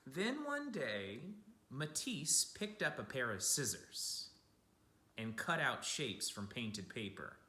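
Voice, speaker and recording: A man giving an expressive read-aloud of a picture book for children, like a teacher speaking to young listeners. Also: mid-range voice, takes it slowly. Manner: addresses young listeners with simple asides and questions. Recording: roomy, echoing room sound.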